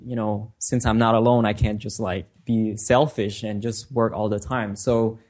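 Man, native French speaker, halting speech. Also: fairly low pitch, level tone, darkish tone. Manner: halting, repeats words while thinking. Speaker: designer and guest. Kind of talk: casual interview answers.

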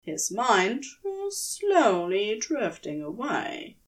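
A woman reading scripted fan fiction as narrator, in a measured, expressive storytelling manner. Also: medium pitch.

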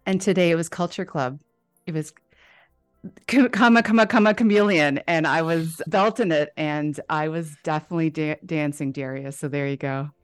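A woman's voice: sits low, moderate pace, clear, resonant tone, faint music behind. A woman hosting a podcast, reading a scripted introduction and then asking interview questions in an upbeat way. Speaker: podcast host.

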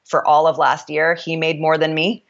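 A woman with a deep voice speaking as an interview guest, reflective and candid, sometimes halting as she works out her ideas. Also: flat delivery, lo-fi sound.

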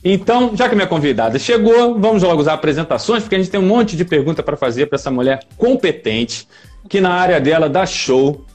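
A man's voice: sits high, expressive, fairly quick, darkish tone, soft background music. A man hosting a podcast, introducing his guest with enthusiastic, effusive praise. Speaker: podcast host.

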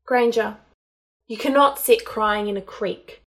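A woman's voice: on the high side, unhurried. A cold young wizard man played by a woman audiobook reader, full of disdain. He speaks in curt, taunting snarls with open irritation. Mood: irritated, snarling. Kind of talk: dramatised audiobook narration.

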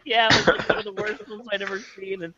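A man chatting casually as a podcast panelist, telling animated anecdotes about films. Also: sits high, fast talker, lo-fi sound, a loud crowd.

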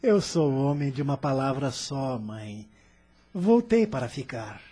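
An actor playing a domineering adult son in a radio drama, commanding then pleading. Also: male voice, medium pitch, expressive, measured pace, warm tone, slightly rough. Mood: persuasive.

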